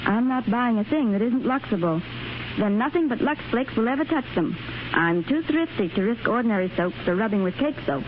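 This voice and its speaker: A woman's voice: on the high side, animated, fairly quick, lightly acted. An actress playing a chatty, thrifty young woman in a 1930s radio ad, on a scratchy, dull old broadcast. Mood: bubbly. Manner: bubbly, chatty.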